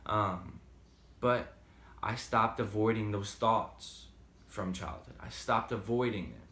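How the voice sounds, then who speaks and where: A man's voice: lowish voice, slow pace, pushed and bright, reverberant. A man with a slight Boston accent talking casually and rambling with many fillers in a motivational vlog. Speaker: vlogger. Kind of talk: casual motivational talk to camera.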